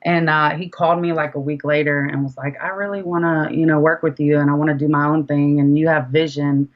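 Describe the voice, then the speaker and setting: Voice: medium pitch, little pitch movement, a little fast, highs cut off. An independent rapper talking casually as an interview guest, chatty and informal, repeating phrases for emphasis.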